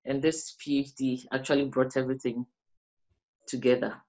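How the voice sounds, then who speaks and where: A middle-aged woman from Ghana with a West African accent, explaining her research as an interviewee in measured phrases with pauses. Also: a bass voice, level tone, a little mellow. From Ghana, also grew up in the Gambia. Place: small indoor room, plain wall.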